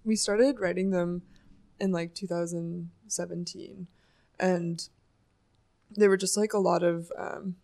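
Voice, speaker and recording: A young woman musician with a low voice, rambling and laughing a lot as she talks about writing songs. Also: steady tone, unhurried, warm tone. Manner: giggly, rambling, many "like" fillers. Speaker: singer and songwriter. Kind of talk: casual interview chat.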